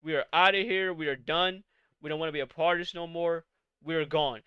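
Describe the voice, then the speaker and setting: Male host, late 20s, high crisp voice, dry close mic, profane exasperated streamer rant. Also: fairly even intonation, fairly quick, dry recording, dull audio. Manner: exasperated, profane, rhetorical questions. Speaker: podcast host. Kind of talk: live-streamed casual rant.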